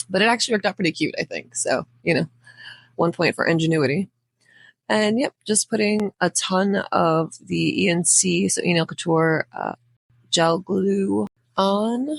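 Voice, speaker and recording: A woman hosts a casual how-to voice-over in a crackly, under-the-weather voice. Her pitch moves in a lively way as she rambles informally through asides. The recording is close and dry. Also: no room sound. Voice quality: crackly, raspy. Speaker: nail art tutorial host.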